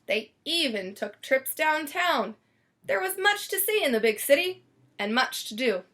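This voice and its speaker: A woman's voice: sits high, sing-song, unhurried, bright, forceful tone. A woman reading a children's story aloud expressively, stretching and stressing words for drama. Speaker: storybook reader.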